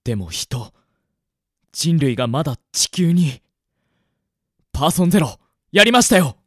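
A man's voice: fairly high pitch, very animated, measured pace, dry recording, studio quality. A man playing an earnest, punctilious android assistant in a radio drama, speaking in formal, polite, precise phrases. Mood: urgent, excited. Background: music.

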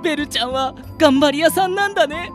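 A woman's voice: high voice, quick pace, music in the background, lightly acted. A woman voicing a cheeky, girl-crazy teenage boy in a Japanese anime-style radio drama, with boyish teasing speech. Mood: casual, boyish.

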